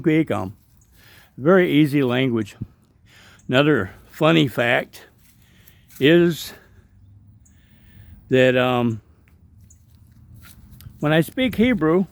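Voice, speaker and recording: A man's voice: a little high, vocal fry, darkish tone. A middle-aged man chatting casually as he vlogs his walk through an outdoor park, rambling with plenty of ums and asides. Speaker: vlogger and street evangelist. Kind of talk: casual walking vlog narration.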